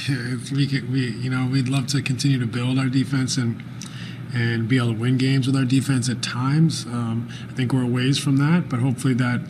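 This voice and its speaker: A man in his forties, a basketball head coach answering postgame press questions in a calm, thoughtful way, often pausing with fillers and restarting phrases. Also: mid-range voice, slight background noise, poor audio. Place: press room with sponsor backdrop, indoors. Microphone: desk microphone at arm's length.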